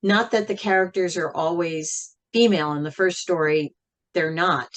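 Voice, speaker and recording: A woman talking reflectively as an interview guest, thoughtful and measured, with frequent pauses. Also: fairly low pitch, steady tone. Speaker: interview guest, singer and writer.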